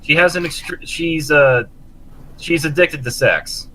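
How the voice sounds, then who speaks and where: A man's voice: on the high side, slow pace, pushed and bright, slight background noise, rough recording. A man on a casual fan panel, joking and acting out imagined quotes. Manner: jokey, acts out imagined quotes. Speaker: podcast panelist.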